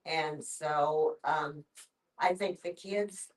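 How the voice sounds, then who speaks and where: A woman past sixty with a notably low voice shares casually and plainly. She speaks haltingly, captured by a microphone some distance from her. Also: steady tone. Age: 60s or older. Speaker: discussion participant, grandmother. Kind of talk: casual personal sharing.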